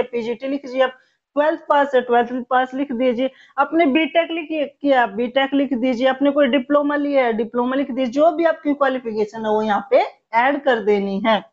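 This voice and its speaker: Woman teacher slowly dictating English examples. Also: sits high, a little mellow, muffled recording. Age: 30s. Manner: slow, spelled-out dictation of English example sentences; explains in Hindi with English words mixed in. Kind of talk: spoken English lesson.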